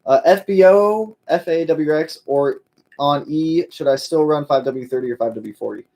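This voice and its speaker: A man's voice: on the high side, normal speed, warm tone. A man hosting a casual live-stream Q&A for his car channel, answering viewers in a laid-back way with lots of fillers and friendly call-outs.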